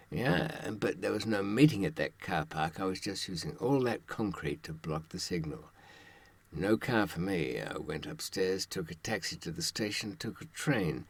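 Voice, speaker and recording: Older guy w a deep voice giving advice, chatty but sardonic, dry close recording. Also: no echo. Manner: wry, dryly sardonic, rhetorical asides. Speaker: true-crime advice presenter and author. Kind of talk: conversational advisory monologue to the audience.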